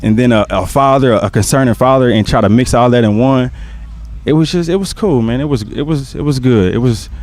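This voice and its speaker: A man's voice: medium pitch, fairly quick, a little mellow. A young man, a stage actor, chatting casually in an interview, laid-back and joking, dropping "man" into his sentences.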